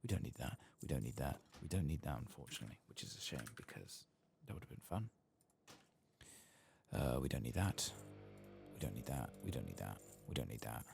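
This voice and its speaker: A soft-spoken man giving unhurried ASMR gameplay commentary, murmuring to himself and sometimes dropping toward a whisper, close to the microphone. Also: rumbling low, big pitch swings, gravelly, over music. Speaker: gaming streamer.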